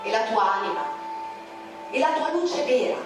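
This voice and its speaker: A woman reciting a poem in a declamatory way, with sharp swings in pitch, over music in a very echoey recording. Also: sing-song, normal speed, far from the mic. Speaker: poetry reader. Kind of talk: dramatic poetry recitation.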